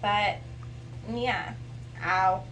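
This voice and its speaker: A woman's voice: mid-range voice, steady tone, drawn-out words. A woman in her twenties chatting casually to camera as a vlog co-host. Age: 20s. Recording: roomy echo, distant mic, noisy.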